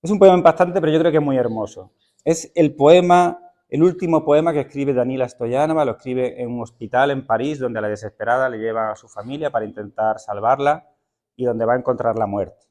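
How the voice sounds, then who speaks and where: Man reading poetry, mellow and unhurried. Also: mid-range voice. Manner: unhurried, thoughtful, with hesitant fillers. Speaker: teacher reading poetry at a literary event. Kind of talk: poetry reading with spoken introduction.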